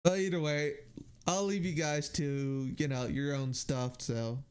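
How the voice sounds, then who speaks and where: A man who streams games, chatting casually in a halting way and muttering to himself. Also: a little high, steady tone, darkish tone, clean tone. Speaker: live streamer. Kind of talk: casual gaming stream chat.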